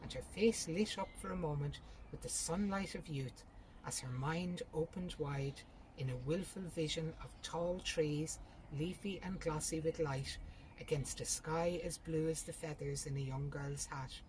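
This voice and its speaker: Female audiobook reader, low register, narrating literary prose, faint room noise. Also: unhurried, darkish tone, rough recording. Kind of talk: scripted literary narration with character dialogue.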